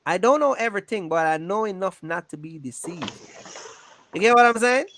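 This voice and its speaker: A man's voice: high voice, lively intonation, normal speed, fairly bright tone, a little hiss or hum. A man chatting casually and emphatically, often checking that the listener follows. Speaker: trucking business owner and video creator. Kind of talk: casual chat.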